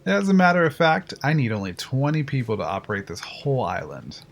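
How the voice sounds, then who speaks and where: A man's voice: mid-range voice, very animated, fairly quick. A man playing a proud, matter-of-fact control-room engineer in an audio drama, explaining with confidence. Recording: music under the voice. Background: music.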